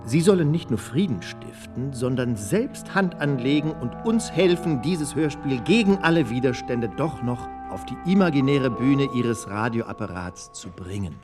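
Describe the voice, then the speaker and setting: A courteous, ceremonious radio presenter in a radio play, played by a man. He addresses listeners formally and emphatically in a very animated mid-pitched voice, over faint music. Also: moderate pace, hardly any pauses, close to an everyday voice. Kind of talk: radio play announcer acting.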